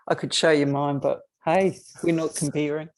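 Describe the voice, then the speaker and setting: A woman with a New Zealand accent hosting a gentle interview over a video call, soft and supportive with hesitant restarts. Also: low voice, fairly even intonation, normal speed. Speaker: podcast host.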